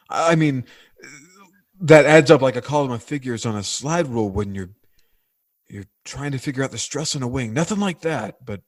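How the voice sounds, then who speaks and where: An actor playing an earnest, plain-spoken working-class man in 1940s radio drama style, with a North American accent and halting, slangy speech. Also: mid-range voice, dry recording, studio quality. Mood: halting, unsure. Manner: halting, groping for words, slangy American everyman.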